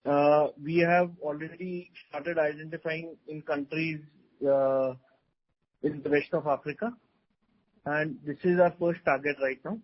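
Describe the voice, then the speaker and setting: Male executive, slightly high and rough-edged voice, deliberate halting answers on an earnings call. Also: fairly even intonation. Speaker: company executive. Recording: dull lo-fi conference call audio.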